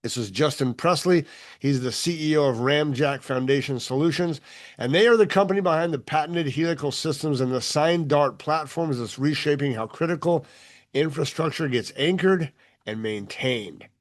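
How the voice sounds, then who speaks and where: Podcast host, man, fairly high voice, bright promotional read. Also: normal speed. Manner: upbeat, polished promotional delivery. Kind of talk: scripted show intro and interview.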